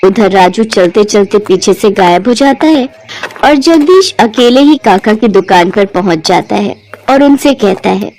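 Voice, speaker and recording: A woman narrating a moral cartoon story in a clear, steady storytelling voice. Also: medium pitch, a little slow, poor audio. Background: soft background music.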